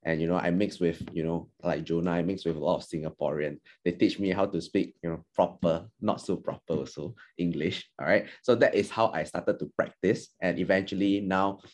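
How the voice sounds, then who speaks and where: A man's voice: deep voice, steady tone, brisk, hardly any pauses, darkish tone. A man whose first language is Chinese, an alumni panellist and trainer, giving earnest, encouraging advice with many fillers and restarts on a video call. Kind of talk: panel discussion answer.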